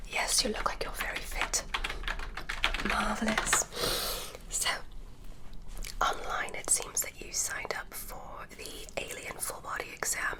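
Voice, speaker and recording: A woman's voice: on the high side, poor audio. A woman voicing a curious, polite female alien examiner, whispering through an ASMR roleplay.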